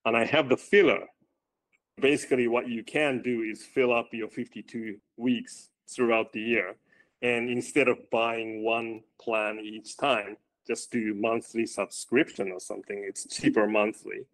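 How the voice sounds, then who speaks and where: A man with a loud voice, a running coach answering interview questions and thinking aloud as he moves between points. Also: medium pitch, flat delivery, a little slow, rough recording.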